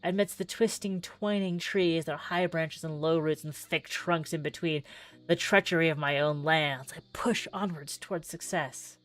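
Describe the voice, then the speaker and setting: Low-voiced woman reading a story dramatically. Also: moderate pace. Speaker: streamer playing a visual novel demo. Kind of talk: dramatic read-aloud of narrative game text. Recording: music playing under the voice.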